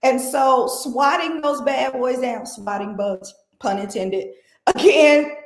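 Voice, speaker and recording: A woman guest in an interview who speaks in an animated, persuasive, conversational way. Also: fairly high pitch. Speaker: guest executive. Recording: echoey room sound.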